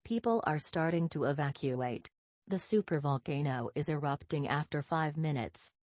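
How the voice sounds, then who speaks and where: A synthetic woman's voice reading scripted emergency broadcast announcements in a stiff, impersonal, measured way. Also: low voice, a little mellow, no room sound.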